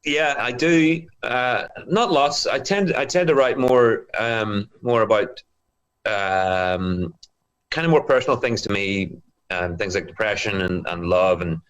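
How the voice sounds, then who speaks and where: Low-voiced Northern Irish man chatting casually. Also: measured pace, fairly bright tone, clear, resonant tone, low-quality recording. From Northern Ireland. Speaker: guest, singer-songwriter. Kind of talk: casual interview chat.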